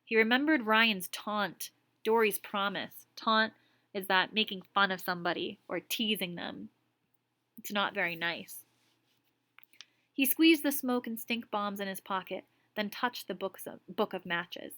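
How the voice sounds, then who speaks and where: Teacher reading a story aloud, dry close sound. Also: female voice, medium pitch, a little slow, sharp tone, no echo. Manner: reads the story text, then stops to define words and ask checking questions. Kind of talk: classroom read-aloud with vocabulary explanations.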